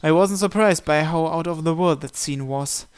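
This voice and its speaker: Higher-voiced man narrating a visual novel. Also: moderate pace, smooth. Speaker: channel host reading visual novel text aloud. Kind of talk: dramatic first-person scripted narration.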